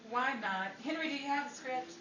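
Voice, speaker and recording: A woman's voice: sits high, animated, fairly quick. A woman playing a poised, patient 1950s Hollywood radio hostess in old-time radio style. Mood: patient, gently wry. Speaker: radio show host and actress. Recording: roomy, distant microphone, loud background noise.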